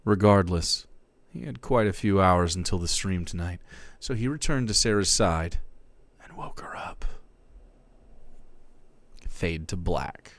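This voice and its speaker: Male streamer, theatrical reader, bright gravelly tone. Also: low voice, a little slow, no room sound. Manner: theatrical, swinging between hushed and loud. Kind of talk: dramatic comic reading of fan fiction.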